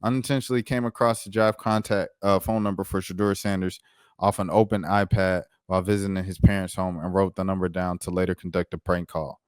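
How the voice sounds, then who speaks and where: A man's voice: low-pitched, little pitch movement, fairly quick, smooth, dry recording, studio quality. An American man giving a casual, opinionated sports rant in a slangy, incredulous, emphatic way, repeating himself for effect. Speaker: sports commentator, show host.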